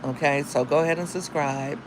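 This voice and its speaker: A woman with a deep, husky morning voice, talking casually to viewers in a livestream as a single mom and content creator, with chatty, slangy asides. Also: fairly even intonation, a little fast, background noise. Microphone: phone.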